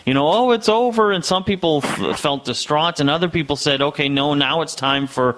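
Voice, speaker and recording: A man's voice: fairly high pitch, fairly quick. Upbeat male talk show host. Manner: upbeat, conversational, lively questions. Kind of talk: interview hosting.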